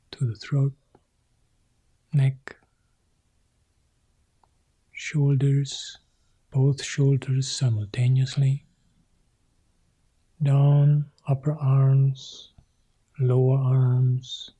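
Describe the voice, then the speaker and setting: Soft, dark-voiced man guiding meditation very slowly. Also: mid-range voice. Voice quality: soft, husky, breathy. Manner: calm, sparse short phrases with long pauses. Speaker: meditation teacher guiding a practice. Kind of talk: guided meditation.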